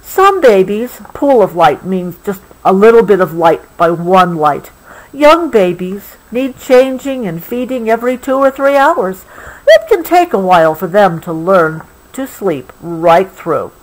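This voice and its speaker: A woman reads a picture book aloud to a child, gently adding explanations as she goes. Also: mid-range voice, lively intonation, unhurried, poor audio. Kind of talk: read-aloud storybook with explanatory asides.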